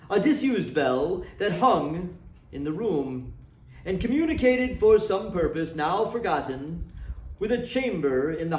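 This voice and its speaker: A man with a fairly high voice reads a story aloud. His delivery is dramatic and expressive, with measured phrasing. He is some distance from the microphone, and the room echoes clearly around him. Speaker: library volunteer reader and host. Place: indoor room decorated for Christmas, seated. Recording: roomy echo, distant microphone.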